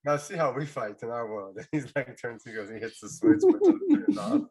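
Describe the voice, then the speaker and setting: A man co-hosting a gaming podcast, talking loud, jokey and rambling in casual chat. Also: medium pitch, wildly swooping pitch, moderate pace, a loud crowd. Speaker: podcast co-host.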